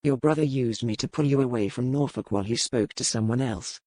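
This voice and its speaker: A man's voice: mid-range voice, dark tone, no echo, close to an everyday voice. A man acting a stern, formal pirate captain. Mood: reproachful, resolute. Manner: formal, measured, commanding. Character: stern pirate captain, cold but courteous to a captive lady. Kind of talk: dramatized audiobook dialogue.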